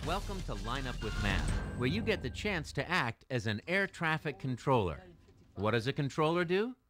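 An American man reading scripted narration for an educational video, explaining things clearly in measured phrases. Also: medium pitch, very animated, fairly bright tone, soft background music.

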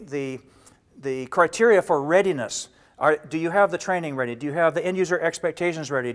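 Older man, fairly high voice, measured and explanatory, restarts phrases, lapel mic, studio. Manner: measured and explanatory, gesturing with both hands, frequent restarts. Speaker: data warehouse instructor. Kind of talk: explaining a training course to camera.

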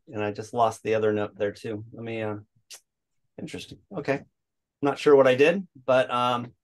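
A man's voice: mid-range voice, a bit bright. Man, host, chatty, talks through screen demo, video call. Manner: chatty, narrates screen actions. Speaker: meetup host.

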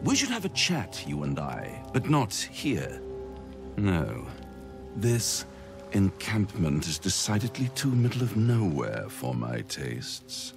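A man playing a suave, mocking, menacing devil in a video game, with grandiloquent, drawn-out delivery. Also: low-pitched, huge pitch swings, vocal fry, music in the background, full character voice. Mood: theatrical, menacing. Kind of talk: video game dramatic acting.

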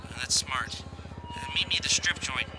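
An actor playing a cautious criminal planner in a video game, speaking hesitantly with an American accent over a phone. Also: male voice, medium pitch, over music. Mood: cautious, uneasy. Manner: measured, hesitant. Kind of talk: video game dramatic acting.

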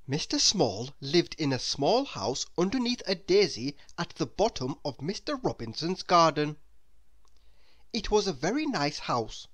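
A man reading a children's story aloud as narrator, in an expressive, deliberate way. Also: on the high side. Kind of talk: scripted narration for young children.

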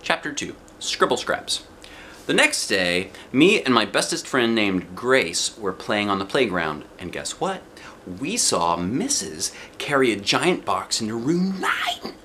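A middle-aged American man reading a children's storybook aloud in animated narration. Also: medium pitch, a little slow. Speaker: children's book reader. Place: living room, indoors. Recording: noticeable background noise.

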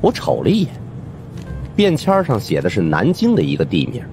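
Male audiobook narrator, lowish voice, hugely varied intonation, suspense storytelling, soft music behind. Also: wildly swooping pitch, brisk. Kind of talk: expressive first-person storytelling of a supernatural mystery. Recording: music under the voice.

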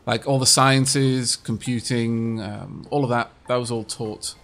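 A man's voice: mid-range voice, steady tone, unhurried, creaky, a bit bright, a little hiss or hum. A young man with a Welsh accent chatting casually while playing a game with a friend, rambling with lots of fillers. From Wales. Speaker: gaming streamer. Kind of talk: casual co-op gameplay chat.

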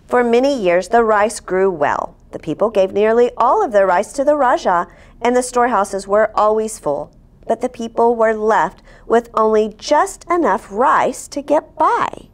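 Expressive woman reading to children. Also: fairly high pitch, unhurried. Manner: expressive, asks listeners simple questions. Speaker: storyteller, host. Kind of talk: children's picture-book read-aloud. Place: indoor studio set at a table. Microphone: lapel.

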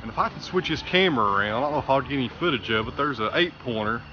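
A man's voice: medium pitch, animated, rapid-fire, lo-fi sound, faint music behind. A man in his thirties, a woodworker vlogging in a plainspoken, chatty way, first outdoors in the woods and then in his small shop, recorded on the camera's mic. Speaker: woodworker and channel host. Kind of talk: casual workshop vlog.

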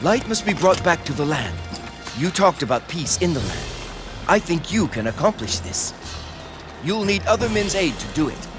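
Young warrior hero, loyal, earnest, solemn formal delivery, video game cutscene, music behind. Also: male voice, on the high side, sing-song, moderate pace. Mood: rallying. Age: young adult. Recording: background music under dialogue.

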